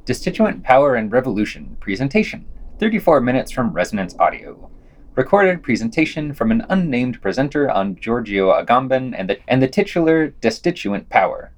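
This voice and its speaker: A man reading scripted podcast reviews in an analytical, wordy and measured way. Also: mid-range voice, sing-song.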